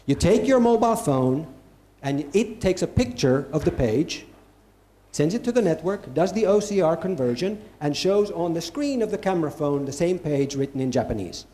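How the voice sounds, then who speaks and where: A man's voice: fairly high pitch, expressive, normal speed, warm tone. Man giving an emphatic keynote. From Finland. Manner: emphatic, repeats phrases for stress. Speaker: conference speaker. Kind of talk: live keynote talk. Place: event hall with an audience. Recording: echoey hall.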